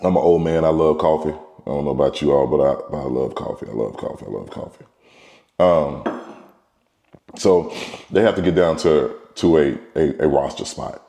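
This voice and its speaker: A middle-aged man with a very low, mellow voice speaks with a stuffed-up nose from a cold. He rambles through a casual monologue as a show host, often repeating and restarting phrases. The room around him sounds echoey. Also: normal speed, vocal fry. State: congested from a cold. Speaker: baseball trainer and podcast host.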